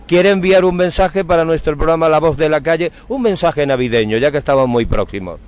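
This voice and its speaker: A man's voice: a little high, brisk. A man speaks as a local radio interviewer. He is courteous and effusive, favouring florid phrases in a conversational style. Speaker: radio host interviewing on the street.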